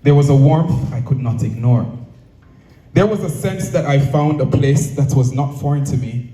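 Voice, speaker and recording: Male Jamaican orator, deliberate rhetorical delivery, deeply soft-edged warm tone, mid pitch, recorded in a reverberant venue. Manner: measured, rhetorical, deliberate phrasing with repetition. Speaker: association president giving a speech. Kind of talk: prepared public speech. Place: speaking at a live event, room echo. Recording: echoey venue, distant microphone.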